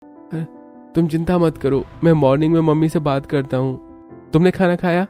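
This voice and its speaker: A man's voice: on the high side, steady tone, moderate pace, warm tone, soft background music, pitched above the performer's own voice, lightly acted. A man playing a caring, reassuring young husband in a cartoon family story. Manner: concerned, reassuring. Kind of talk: Hindi cartoon dialogue.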